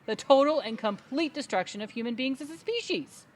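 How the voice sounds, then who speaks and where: Woman acting indignant, crusading sister, high swooping voice. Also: animated, a little fast. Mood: alarmed, ranting. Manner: dramatic, emphatic, speechifying. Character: indignant, crusading adult sister at a family reunion. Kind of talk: comedic audio theatre acting.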